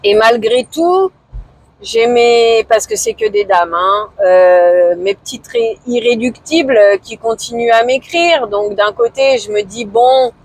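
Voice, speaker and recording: A woman in her forties talking casually to camera in a vlog, hesitant and low-key, with many fillers and pauses. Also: animated, dry recording, rough recording. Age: 40s. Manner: low-key, somewhat discouraged. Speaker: vlogger.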